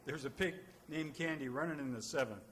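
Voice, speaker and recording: A man's voice: fairly high pitch, fairly quick, fairly bright tone, natural voice. An actor playing a terse, streetwise racetrack tipster, a man with curt slangy talk in 1940s radio drama style. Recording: faintly noisy old radio recording.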